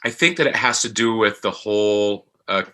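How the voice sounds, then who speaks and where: A middle-aged man with a low voice and a bright, firm edge talks as an interview guest. He is reflective and conversational, speaking haltingly and often repeating words while he looks for the next one. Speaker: voice actor as podcast guest.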